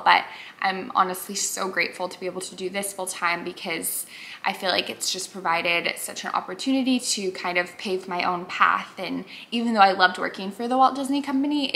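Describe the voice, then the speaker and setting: A woman's voice: mid-range voice, sharp tone. A woman in her twenties chatting casually to camera in a get-to-know-me vlog, bubbly and full of filler words. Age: 20s. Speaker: YouTuber.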